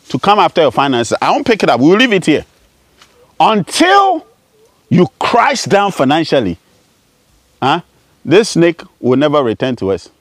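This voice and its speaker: A man's voice: high voice, no echo. A man in his forties from Ghana, a spiritualist, speaking outdoors to a camera in a declamatory, accusing address, often tossing in "huh?". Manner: declamatory, rising and falling sharply, repeating "huh?". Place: outdoors among bushes.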